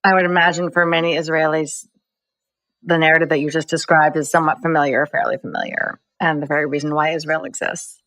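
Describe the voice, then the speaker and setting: American woman, low flat voice, real measured and articulate, dry sound. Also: dry recording, low-quality recording. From United States. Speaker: documentary filmmaker guest. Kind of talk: interview answers.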